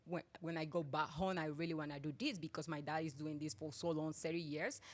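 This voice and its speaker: A woman's voice: low-pitched, steady tone, a little fast. A young woman with a Colombian Spanish accent, a native Spanish speaker, talking earnestly as a guest in English with small grammar slips. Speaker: guest, drink business owner. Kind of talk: casual interview.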